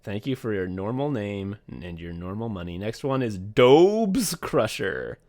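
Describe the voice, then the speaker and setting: A man's voice: lowish voice, sing-song, unhurried, darkish tone. A young man co-hosting a casual podcast, rambling loosely, laughing often and swearing freely. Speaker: podcast co-host.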